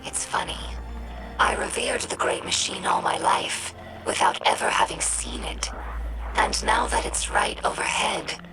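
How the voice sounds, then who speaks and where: An earnest young alien chronicler, played by a woman, tells game lore at a slow, reflective pace. Her voice has a strong gravelly creak, and clear music plays behind her. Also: medium pitch, whispered, close to an everyday voice, rough recording. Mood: reverent, wistful. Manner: measured, reflective storytelling. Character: earnest, thoughtful young female alien scribe. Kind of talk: scripted game narration of lore. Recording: music under the voice.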